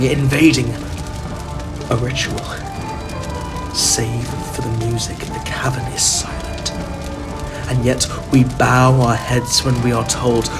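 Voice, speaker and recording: Male actor as frightened horror narrator, halting and stammering, gothic audio drama, music underneath. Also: medium pitch, close to an everyday voice. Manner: halting, stammering, dread-filled delivery. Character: terrified male narrator summoned to an ancient family rite.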